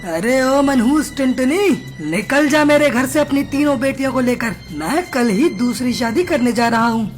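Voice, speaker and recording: A woman's voice: high-pitched, animated, normal speed, faint music behind. A woman voicing a harsh, bullying male crow in a cartoon, blustering and demanding.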